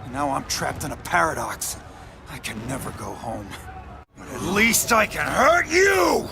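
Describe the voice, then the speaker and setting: Game villain: bitter, taunting time traveller, higher register, animated delivery, music underneath. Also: male voice, measured pace, vocal fry, full character voice. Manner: taunting, vengeful. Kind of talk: dramatic game cutscene acting.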